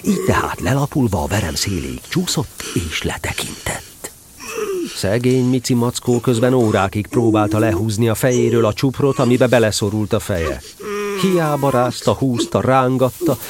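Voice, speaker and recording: A man narrating a storybook audio drama with playful, expressive storytelling. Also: mid-range voice.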